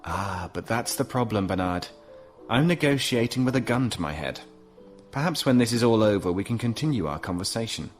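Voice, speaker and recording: An actor playing a smug, unflappable captive inventor in a science fiction audio drama, speaking in a measured, suavely condescending drawl. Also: male voice, on the low side, expressive, faint music behind, lightly acted. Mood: mock-polite, smug. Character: smug, unflappable male genius inventor held prisoner.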